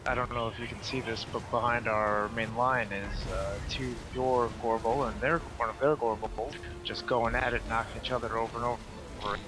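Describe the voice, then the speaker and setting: A man's voice: medium pitch, fairly even intonation, normal speed, few pauses. A man giving casual gameplay commentary as a podcast co-host.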